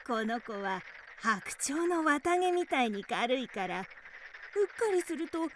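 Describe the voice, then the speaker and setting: Lively, scheming mother toad. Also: high-pitched, measured pace, slight background noise, exaggerated voice, rough recording. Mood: bossy, smug. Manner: quirky repeated "dawasa" sentence endings, bossy. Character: ugly, scheming mother toad, doting on her son. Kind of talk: radio drama acting.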